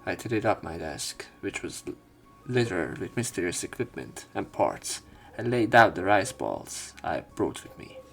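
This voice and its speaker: A man with a fairly low voice full of vocal fry hosts a let's play. He reads visual novel narration and dialogue aloud. His own commentary is casual. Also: very animated, measured pace, soft background music.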